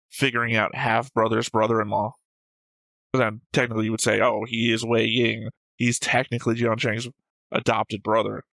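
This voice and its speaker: A man's voice: mid-range voice, gravelly, fairly bright tone, no room sound, low-quality recording. A man co-hosting a casual discussion show, going through notes in a dry, analytical way. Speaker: reaction show co-host.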